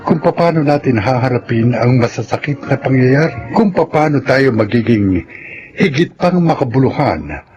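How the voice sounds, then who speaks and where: A man narrating as a radio drama announcer in a solemn, dramatic, measured style. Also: medium pitch, expressive, dull audio, music in the background.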